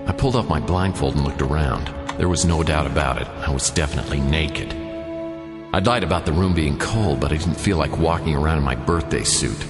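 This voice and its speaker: A world-weary private eye in a radio play, very low in pitch. He narrates his misfortunes with dry, self-mocking wit. Long reverb and clear music surround the voice. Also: brisk. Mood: wry narration. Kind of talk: noir scripted narration, radio play acting.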